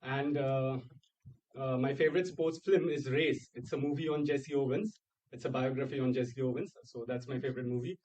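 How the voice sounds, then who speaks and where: A male student from India introducing himself earnestly, with hesitant pauses. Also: mid-range voice, fairly even intonation, a little mellow. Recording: muffled, distant classroom audio.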